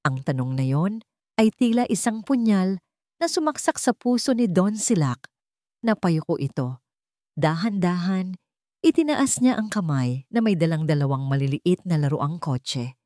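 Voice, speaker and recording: A woman reading a scripted dramatic story as narrator, with measured, deliberate phrasing. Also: fairly low pitch, very animated, dark tone, no room sound, clean studio sound.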